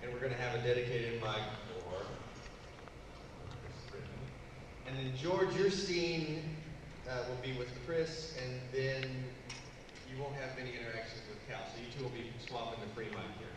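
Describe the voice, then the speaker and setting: A man's voice: mid-range voice, normal speed. A man hosting a live stage event, announcing and organizing in a showman-like way as he addresses the audience. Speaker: event host. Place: large indoor convention hall. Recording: echoey hall, distant mic, noisy ambience.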